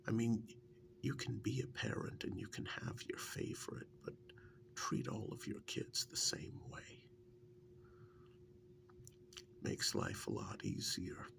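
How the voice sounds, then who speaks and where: Man acting as a whispering, gravelly, intimate partner. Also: lowish voice, wildly swooping pitch, fairly bright tone, heavily acted. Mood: wry, reminiscing. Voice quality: breathy half-whisper with gravelly fry. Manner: halting, intimate, conversational, lightly teasing. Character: soft-spoken former captive fondly reminiscing, teasing, intimate male partner. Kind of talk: soft-spoken ASMR role-play monologue.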